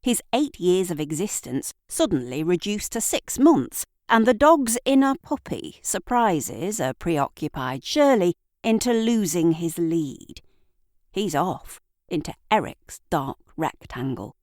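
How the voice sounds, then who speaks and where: A woman narrating an audio drama in a deliberate, theatrical storytelling manner, with dramatic pauses. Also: lowish voice, very animated, darkish tone, no echo, studio quality. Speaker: narrator and writer.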